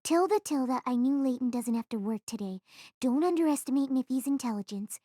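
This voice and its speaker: A woman's voice: high-pitched, expressive, a little fast, dark tone, no room sound, clean studio sound. A woman playing a cheeky, scheming teenage schoolgirl in an audio drama, breezy and teasing. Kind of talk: anime-style audio drama acting.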